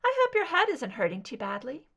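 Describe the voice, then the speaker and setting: A woman voicing a composed, mysterious female captor in a clear, soft, delicate soprano, cryptic and deliberate in audio drama style. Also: high-pitched, huge pitch swings, dull audio, heavily acted. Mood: cool, cryptic.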